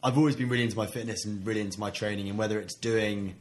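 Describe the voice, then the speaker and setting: A man's voice: lowish voice, steady tone, very fast, slight background noise. A young man from England, an army officer, answering interview questions in a modest, easygoing way. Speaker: guest, army officer and runner. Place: small studio room above a gym.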